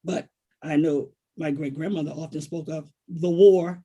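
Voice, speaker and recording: An American man, a writer, speaking reflectively and haltingly in a literary conversation, repeating phrases for emphasis. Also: on the high side, steady tone, mellow. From Washington, D.C., United States. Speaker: poet and writer, guest author.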